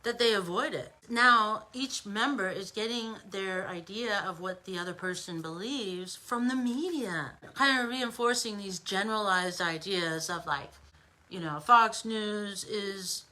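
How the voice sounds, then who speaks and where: A woman's voice: medium pitch, measured pace, bright, forceful tone, low-quality recording. An American woman giving an informal opinion monologue as an online commentator, emphatic and conversational, with rhetorical asides.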